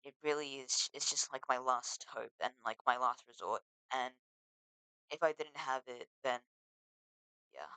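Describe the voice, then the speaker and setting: A teenager, talking in a casual confessional vlog, halting and full of restarts, earnest and emotional. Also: mid-range voice, bright, forceful tone, smooth, no echo, poor audio. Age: teens. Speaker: vlogger.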